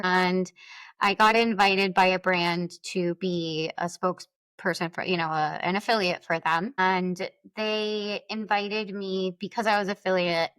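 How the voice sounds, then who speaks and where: A woman's voice: on the low side, a bit bright, clear, resonant tone, dry recording. Woman giving conversational, emphatic advice. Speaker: podcast guest, influencer marketer.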